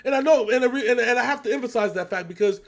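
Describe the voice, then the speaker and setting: A man's voice: extremely high pitch, very fast. A man hosting a casual video reaction, speaking in an emphatic, rambling way as he thinks aloud. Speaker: reaction show host.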